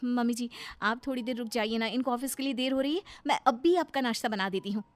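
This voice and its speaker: High-voiced polite young daughter-in-law played by a woman. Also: fast, background noise, lightly acted. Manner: polite, pleading. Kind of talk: Hindi moral-story cartoon dub.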